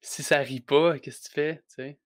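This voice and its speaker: Young guy, Québécois accent, podcast guest; stop-start delivery, monotone, dry. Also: a little high, moderate pace, dry recording. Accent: Québécois French. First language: French. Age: young adult. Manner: hesitant, many restarts and fillers. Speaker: wrestler guest. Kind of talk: casual podcast interview.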